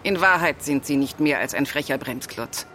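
An actress playing a middle-aged senator in a radio drama, brisk, commanding and condescending. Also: female voice, sits low, pushed and bright, a little hiss or hum. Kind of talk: German radio drama dialogue.

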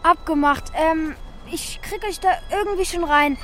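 A woman's voice: extremely high pitch, normal speed, a bit bright. A woman playing an eager, caring child who helps out in a hotel, in a German children's radio drama with a natural, childlike delivery. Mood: eager, helpful.

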